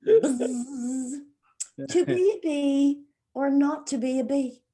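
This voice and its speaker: A woman's voice: high-pitched, slow pace, warm tone. An actress playing a motherly older sister from a rural coal family in a stage play, folksy and playful, with an Appalachian accent. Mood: silly, giggly.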